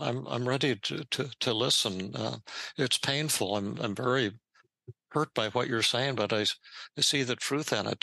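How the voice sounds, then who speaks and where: An older man hosting a therapy podcast, talking in halting, repeated starts while demonstrating a role-play. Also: mid-range voice, vocal fry, sharp tone, no room sound, low-quality recording. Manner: halting, many repeated words.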